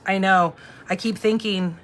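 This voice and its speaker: A woman in her forties hosting a casual live craft demo, chatty and thinking out loud as she works. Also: medium pitch, steady tone, measured pace. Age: 40s. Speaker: crafting host and instructor.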